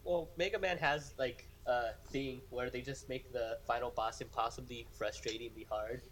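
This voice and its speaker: A man's voice: medium pitch, steady tone, normal speed, fairly bright tone, slight background noise. A young man in casual gaming chat as a podcast co-host, telling rambling stories and acting out quotes.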